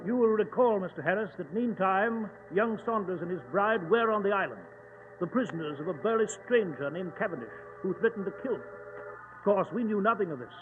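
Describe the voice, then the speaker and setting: An earnest, excitable British gentleman, the detective's loyal companion, in an old radio mystery. His voice sits very high for a man. The vintage recording is thin and tinny, with noise over it. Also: brisk. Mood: storytelling. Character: Doctor Watson, earnest, excitable English gentleman sidekick. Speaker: actor in a radio detective drama. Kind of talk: 1940s radio acting.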